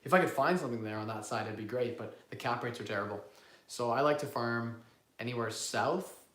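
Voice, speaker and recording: A man with a cold, hoarse, hosting a casual live-stream Q&A on investing and rambling as he answers viewers. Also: mid-range voice, brisk, a bit bright, roomy sound. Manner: rambling, self-correcting, repeats words. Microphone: phone built-in mic, no lapel.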